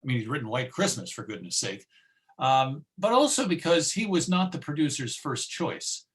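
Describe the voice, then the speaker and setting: A man's voice: a little high, big pitch swings, moderate pace. An American man presenting a music history talk in a conversational, emphatic storytelling way. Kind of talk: informal lecture.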